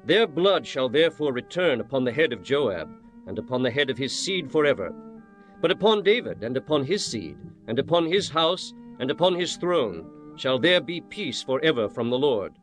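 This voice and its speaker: A man's voice: medium pitch, very animated, moderate pace, soft background music, natural voice. An actor playing a stern, commanding young king in a dramatized scripture reading, with solemn, declamatory delivery and archaic formal phrasing.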